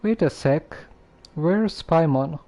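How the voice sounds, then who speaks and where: A man voicing a calm, skeptical young man in a video game, speaking in a dry, hesitant deadpan. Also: fairly high pitch, slow, noisy background. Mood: doubtful. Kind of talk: video game character dialogue.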